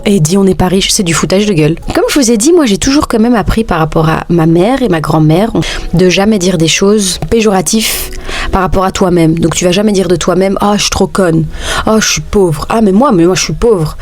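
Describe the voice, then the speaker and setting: Woman in her thirties, playful sassy vlog talk, slightly low pitch, code-switching. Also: darkish tone. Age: 30s. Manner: playful, sassy, jokes often; switches between French and Dutch. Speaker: vlogger. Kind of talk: casual chatty vlog.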